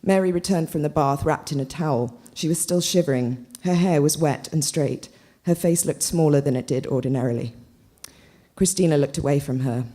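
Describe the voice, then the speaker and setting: Woman reading a novel excerpt out loud, lowish pitch, very soft mellow tone. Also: normal speed. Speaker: reader at a literary event. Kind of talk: literary reading, narration.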